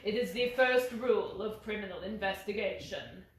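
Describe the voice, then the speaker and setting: A woman voicing a brisk, exacting, commanding male detective in a story reading. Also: medium pitch, slight background noise, lightly acted. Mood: measured disapproval. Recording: very echoey room.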